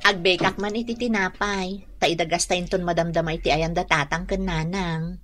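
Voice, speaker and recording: A woman playing an insecure young wife eager to please in a comedy radio drama, gentle and plaintive. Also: lowish voice, fairly even intonation, normal speed. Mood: hopeful.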